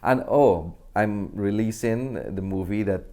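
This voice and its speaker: A man's voice: fairly low pitch, a little slow, dark tone. A middle-aged man with a Guyanese accent, answering reflectively in a conversational interview. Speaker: interview guest, actor and filmmaker.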